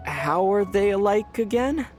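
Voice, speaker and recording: An actor playing a calm young male traveler hero in a video game who answers in a dry, deadpan way. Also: high voice, a little slow, over music. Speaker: player character. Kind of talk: video game character banter.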